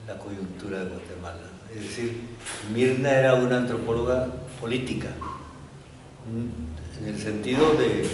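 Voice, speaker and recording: An older man speaks slowly and reflectively, as an academic being interviewed. His delivery is halting and thoughtful, punctuated by small questions seeking agreement. The room echoes strongly around him, and he sits away from the microphone. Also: mid-range voice. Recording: roomy, echoing, distant mic, faint noise.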